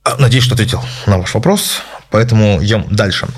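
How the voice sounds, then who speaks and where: A man hosting a casual question-and-answer session, giving his opinions in a measured way with many hesitant filler sounds. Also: lowish voice, faint music behind. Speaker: host answering viewer questions. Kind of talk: casual spoken Q&A.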